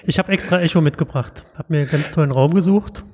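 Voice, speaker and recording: A man's voice: on the high side, fast, a little mellow, breathy edge. A man in his thirties from eastern Germany, co-hosting a podcast with a dry, teasing manner, recorded in an echoey room with faint music. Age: 30s. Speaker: podcast co-host. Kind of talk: casual podcast chat.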